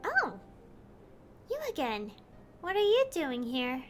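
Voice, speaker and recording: A woman voicing a calm, thoughtful young traveler who speaks reflectively. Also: sits high, takes it slowly, faint music behind, heavily acted. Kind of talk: fan voice-over of a game scene.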